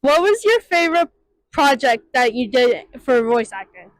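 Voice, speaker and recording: A woman's voice: high voice, sing-song, moderate pace, poor audio. A woman asking casual questions as an interviewer.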